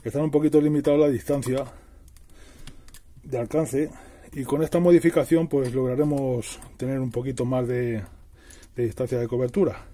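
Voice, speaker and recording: Middle-aged man, very dark mellow voice. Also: mid-range voice, fairly even intonation, normal speed, long pauses. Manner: explanatory, repeats and rephrases while working. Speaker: DIY tutorial host. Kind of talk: casual hands-on tutorial narration.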